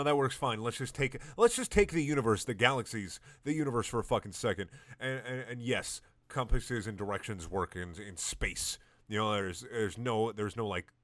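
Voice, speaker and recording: A man's voice: lowish voice, normal speed, few pauses, fairly bright tone, no echo. A young man doing a comedic fan fiction reading with sarcastic, profane, rambling asides.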